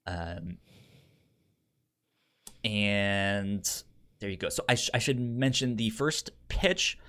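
Male podcast co-host, lowish voice, unhurried and halting, marked stutter with repeated syllables, casual pitch style. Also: sharp tone, clear, resonant tone.

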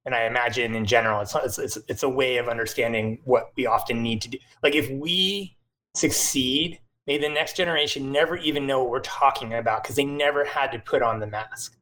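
A man with an ordinary mid-range voice speaks in an earnest, thoughtful way. He restarts phrases as he builds an explanation in a relaxed conversation. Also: mid-range voice, fairly quick, rough recording. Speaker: nonprofit co-founder, podcast guest. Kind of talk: casual chat.

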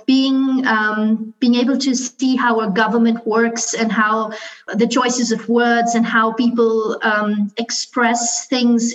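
A woman, talking thoughtfully on a panel with many pauses and fillers. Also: a little high, lo-fi sound. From Pakistan. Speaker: alumna panelist. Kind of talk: casual panel talk.

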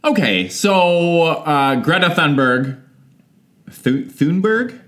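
A man's voice: on the high side, lively intonation, takes it slowly, fairly bright tone. A young man co-hosting a podcast, wry and sweary in casual chat. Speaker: podcast co-host.

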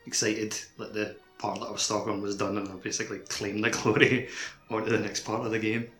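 A man with a low, bright, sharp-edged voice chats casually as a podcast co-host. His phrasing is loose and rambling. The recording has a clearly echoey room sound. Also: flat delivery, a little fast, faint music behind. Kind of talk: casual chat, reminiscing about video games.

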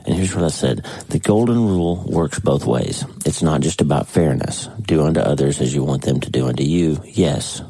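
Very deep, congested, husky man. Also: moderate pace, hardly any pauses, mellow, no room sound, rough recording. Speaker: podcast host. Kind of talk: casual devotional talk.

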